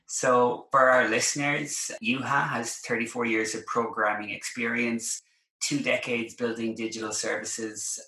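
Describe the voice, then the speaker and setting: A man's voice: lowish voice, pushed and bright. A man hosting a podcast, reading a polished intro and asking questions in an upbeat way. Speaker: podcast host. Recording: roomy echo.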